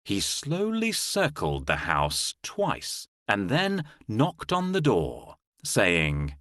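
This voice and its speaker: Deep, very expressive male storyteller. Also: extremely slow, no room sound, studio quality. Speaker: storybook narrator. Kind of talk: scripted fairy tale narration for children.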